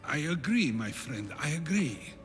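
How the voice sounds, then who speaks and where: A man's voice: a little high, very animated, unhurried, fairly bright tone, faint music behind. An actor playing an earnest, resolute, principled Athenian man in a theatrical video game cutscene. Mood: firm. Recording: clear ambient noise behind.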